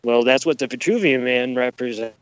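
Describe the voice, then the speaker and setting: A man's voice: medium pitch, a little fast, no echo. A man speaking as a podcast guest, explaining his views emphatically, repeating key phrases and posing rhetorical questions. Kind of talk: conspiracy-minded explanatory interview talk. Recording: dull, lo-fi sound.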